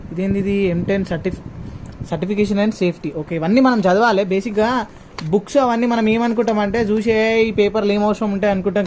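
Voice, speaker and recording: A man with a distinctly high voice and a Telangana accent talks in a casual vlogging style. He speaks informally, slipping English words into his sentences. A faint layer of noise sits behind his voice. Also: darkish tone. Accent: Telangana Telugu. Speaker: motovlogger. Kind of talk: casual unboxing vlog chat.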